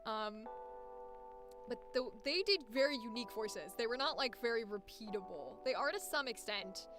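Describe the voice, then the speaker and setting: A young woman with a high-pitched voice, chatting casually about voice acting on a live stream and now and then trying out squeaky character voices. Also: animated, moderate pace, music in the background. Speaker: voice actor streaming.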